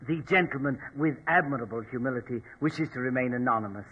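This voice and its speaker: Man acting a sinister, husky, over-polite mortician, tinny old radio. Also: medium pitch, close to an everyday voice. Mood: unctuous, formal. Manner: formal, unctuous, deliberate. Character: sinister, politely menacing male mortician, smugly courteous henchman. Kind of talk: 1940s radio drama acting. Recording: tinny, band-limited old radio recording.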